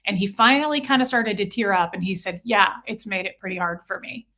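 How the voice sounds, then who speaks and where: A woman's voice: mid-range voice, fairly quick, pushed and bright. A woman speaking as an interview guest, telling personal stories casually and earnestly, often acting out both sides of conversations.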